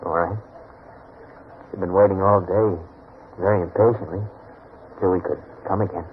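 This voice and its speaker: An actor playing an earnest, insecure man in love, halting and pleading, in 1940s radio drama style. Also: sits low, steady tone, natural voice. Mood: eager, tender. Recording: noisy, tinny 1940s radio recording.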